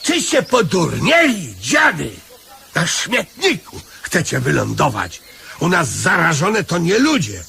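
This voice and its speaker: A brusque, folksy beggar in a radio drama. His voice is gravelly and forceful, with lively swings and a little background noise. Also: on the high side, takes it slowly, full character voice. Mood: testy. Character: testy, plain-spoken male beggar scolding others. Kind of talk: Polish radio play acting.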